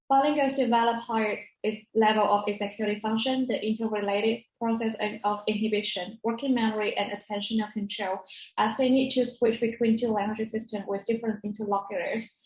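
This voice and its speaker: A woman's voice: mid-range voice, a little mellow. A woman student presenter reading a prepared academic presentation in a formal manner. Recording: roomy, echoey sound.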